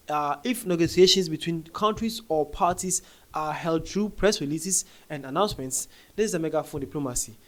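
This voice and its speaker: A man with an African accent and a somewhat high-pitched voice presents casual news commentary. He addresses viewers directly in a conversational way, often doubling back to repeat phrases.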